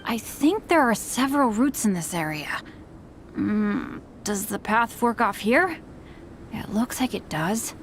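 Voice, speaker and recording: A woman's voice: a little high, lively intonation, unhurried, slight background noise. An actress playing a good-natured, humble teenage boy adventurer in a video game, speaking in a mild, hesitant, earnest way. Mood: uncertain. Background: game music.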